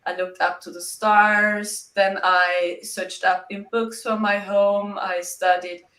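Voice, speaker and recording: German woman with a German accent, bright voice, halting answers, echoey room; interview guest. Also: medium pitch, flat delivery. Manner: halting, simple phrasing with frequent "yeah".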